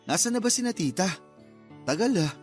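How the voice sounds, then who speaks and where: A teasing young cousin in a radio drama, jokey banter, highish voice, bit of music behind. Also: male voice, animated, fairly quick. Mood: playful. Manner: joking, bantering. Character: playful, teasing young male cousin, food-loving.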